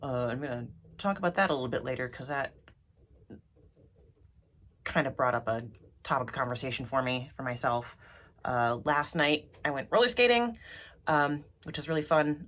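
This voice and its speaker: Woman, deep voice, froggy and congested, rambly with lots of ums, casual vlog. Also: a bit bright, a little hiss or hum. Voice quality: throaty, froggy. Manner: rambly, many ums and restarts. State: congested from allergies. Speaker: vlogger.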